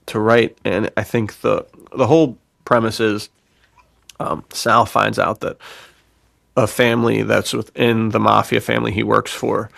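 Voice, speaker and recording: A man's voice: fairly low pitch, vocal fry, no room sound. A man hosting a casual podcast chat about writing, talking in an effusive, informal way as a fellow author. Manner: effusive, informal, says "dude". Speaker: podcast host and fiction author.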